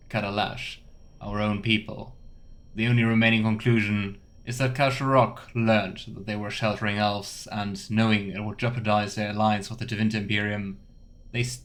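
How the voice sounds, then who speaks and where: A man's voice: fairly low pitch, a bit bright. A man giving casual let's play commentary and reading game text aloud, musing and wryly sarcastic. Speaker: game streamer.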